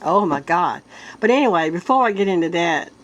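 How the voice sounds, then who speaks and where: Stuffy-nosed woman clearing her throat. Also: on the low side, animated, poor audio. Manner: earnest, halting, with many restarts. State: congested, stuffed-up nose from a sinus illness. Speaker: vlogger. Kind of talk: casual talk to viewers.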